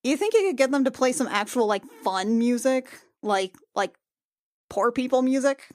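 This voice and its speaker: High-voiced woman playing a tabletop game. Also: animated, moderate pace. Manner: sweary, peppered with "like". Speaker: player in a tabletop roleplaying game. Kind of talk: casual improvised chat. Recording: music playing behind the voice. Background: background music.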